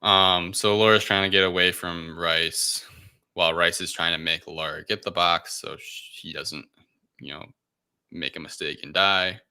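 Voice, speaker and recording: A man's voice: low-pitched, steady tone, unhurried, sharp tone, smooth, no room sound. A man co-hosting a podcast, casually recapping a film in a hesitant, rambling way. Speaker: podcast co-host.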